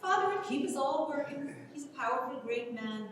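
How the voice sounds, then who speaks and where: A gossipy, hardworked young country woman, played by a woman at a staged reading, with a high and very animated voice. She is heard from far off in a big, heavily echoing hall, chatting plainly and full of exclamations. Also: normal speed. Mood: chatty, earnest. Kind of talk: staged dramatic reading of a play. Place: large reverberant hall at a public event. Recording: echoey hall, far from microphone, noisy.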